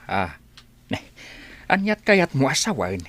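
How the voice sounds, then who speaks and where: A man acts an older village father and grandfather for a radio drama. His voice is husky and moves widely in pitch, fretful and protective of his family. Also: wildly swooping pitch, slow, fairly bright tone, heavily acted. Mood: concerned. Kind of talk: Ilocano radio drama acting.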